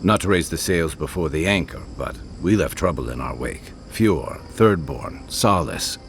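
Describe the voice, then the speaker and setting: A man voicing a loyal, weathered seafaring soldier in a video game, sincere and measured. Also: rumbling low, lively intonation, creaky, slight background noise, heavily acted. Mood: grave, wry.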